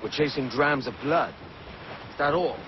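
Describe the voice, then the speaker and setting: An actor playing a skeptical, roguish pirate captain in a video game, with a terse, wry, plain-spoken delivery. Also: male voice, on the high side, normal speed, lo-fi sound, background noise, close to an everyday voice. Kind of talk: video game cutscene acting.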